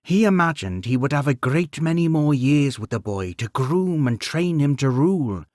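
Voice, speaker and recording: A man voicing a grieving, shrewd and kindly widowed queen, speaking with gentle but firm persuasion. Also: fairly high pitch, lively intonation, normal speed, no echo, clean studio sound, voice pushed up, lightly acted. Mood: persuasive.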